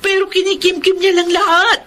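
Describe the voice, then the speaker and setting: An actress with a Filipino accent playing an intense, grieving and furious married daughter in a melodramatic radio drama. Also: female voice, very high-pitched, flat delivery, fairly quick, fairly bright tone, slight background noise. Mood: worried. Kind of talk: Tagalog radio drama acting.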